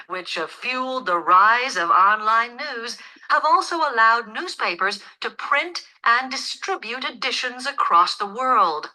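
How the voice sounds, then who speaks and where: A woman delivering a scripted academic lecture in a measured, formal, explanatory manner. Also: on the high side, sharp tone, rough recording. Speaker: lecturer in a listening test.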